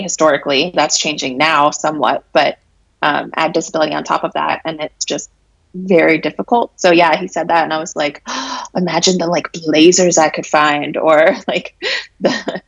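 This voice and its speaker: A hoarse, raspy woman in her late twenties speaks candidly in a conversational interview. Her voice sits very low and gravelly, with a breathy, husky edge. The sound is close and dry, with no room around it. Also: steady tone, normal speed, a bit bright, no room sound. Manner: frank, reflective, many fillers and laughs. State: hoarse from allergies. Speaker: interview guest.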